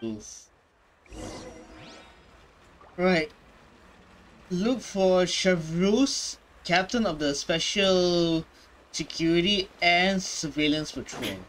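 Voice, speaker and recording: A man's voice: sits high, takes it slowly, fairly bright tone, a little hiss or hum, rough recording. A young man reacting to a game, with brief mumbled asides into a desk mic. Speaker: reaction streamer. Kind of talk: casual gameplay reaction.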